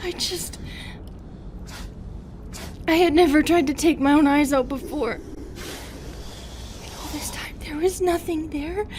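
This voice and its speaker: A woman playing an anguished, remorseful female medusa in an intimate audio roleplay, tender and fragile, with a halting, emotional, pleading delivery. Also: sits high, darkish tone, a little hiss or hum. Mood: shaken, bewildered.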